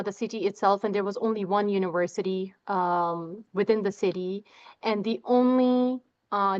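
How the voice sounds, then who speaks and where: Interview guest: young woman from pakistan, halting delivery, smooth tone, muffled lo-fi sound. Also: mid-range voice. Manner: halting, many fillers and repeated words. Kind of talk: personal story in an interview.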